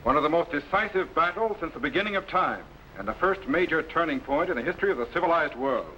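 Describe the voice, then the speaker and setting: An actor playing a commanding, knowledgeable scientist captain in a 1950s sci-fi serial, giving crisp orders. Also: male voice, on the high side, lively intonation, fast, bright, forceful tone. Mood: explaining. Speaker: time machine captain. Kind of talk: 1950s radio-style sci-fi acting. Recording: dull lo-fi old broadcast with faint hiss.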